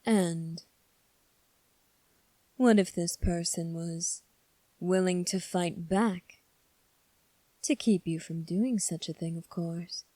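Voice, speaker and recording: A woman voicing a composed, coolly defiant young fantasy prince in an ASMR roleplay, speaking formally and deliberately with long pauses. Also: on the low side, warm tone, no echo. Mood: slow, suggestive.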